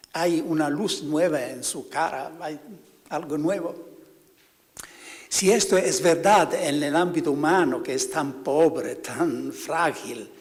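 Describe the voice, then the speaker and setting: A man, a priest, giving a spiritual talk to fellow priests, halting and often stopping to search for words. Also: on the high side, big-room sound.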